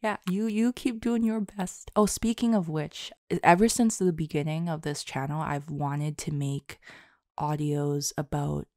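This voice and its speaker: A woman speaks slowly in a somewhat low voice with plenty of vocal fry. She chats informally and sincerely to her audience as an audio creator, often halting and poking fun at herself. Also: fairly even intonation, dark tone, dry recording, studio quality. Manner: halting, self-deprecating, informal. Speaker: audio roleplay and ASMR creator. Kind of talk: casual, heartfelt solo chat to listeners.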